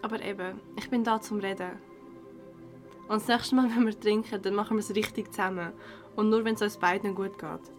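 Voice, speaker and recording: A woman's voice: mid-range voice, steady tone, moderate pace, soft background music, lightly acted. An actress with a Swiss German accent playing a caring young woman in a radio drama. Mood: reassuring. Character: caring young woman looking after her drunk best friend.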